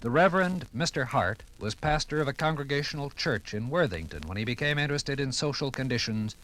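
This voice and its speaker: A man giving scripted 1950s radio documentary narration with formal, deliberate, dramatic phrasing. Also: medium pitch, lively intonation, a bit bright, poor audio.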